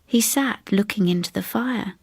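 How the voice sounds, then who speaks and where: A woman's voice: mid-range voice, normal speed, darkish tone, breathy edge, dry recording. A woman voicing a reserved older English woman who recounts a haunting in a hesitant, confiding, precise way. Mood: wistful, subdued.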